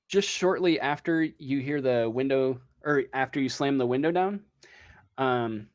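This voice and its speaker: A man running a tabletop game as game master, improvising the narration slowly and searching for his words. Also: mid-range voice.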